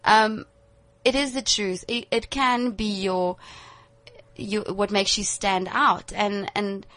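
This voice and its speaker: Young afrikaans south african woman, bright clear voice, chatty and frank, laughs easily. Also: medium pitch, steady tone. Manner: candid, advice-giving, quick to laugh. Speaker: model guest. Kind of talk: casual radio interview.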